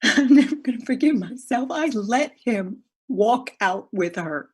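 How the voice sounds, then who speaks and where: An actress playing a guilt-ridden woman in a Zoom play, giving a halting, emotional confession that swings from loud to hushed. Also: fairly high pitch, animated, breathy edge. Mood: ashamed, distraught. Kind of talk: dramatic monologue in a Zoom play. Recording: video call audio.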